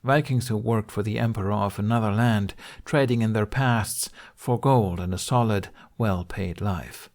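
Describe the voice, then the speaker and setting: A man's voice: lowish voice, normal speed, few pauses, darkish tone. A man reading scripted story narration as an audiobook narrator.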